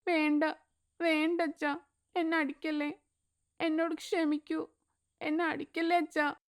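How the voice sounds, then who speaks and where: A woman's voice: high voice, little pitch movement, unhurried, voice pushed up. A woman voicing a sweet timid little girl. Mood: pleading. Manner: soft, pleading, gentle. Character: sweet timid young girl, a small daughter.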